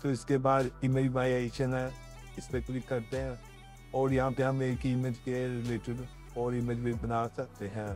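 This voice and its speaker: A man talking through software steps in an unscripted tutorial, in a plain explaining way. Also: mid-range voice, little pitch movement, moderate pace, soft background music.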